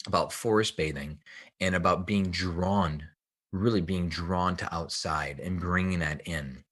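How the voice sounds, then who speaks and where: Low-voiced man explaining earnestly. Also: a bit bright. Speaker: guest health researcher and author. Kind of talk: podcast interview, explanatory talk.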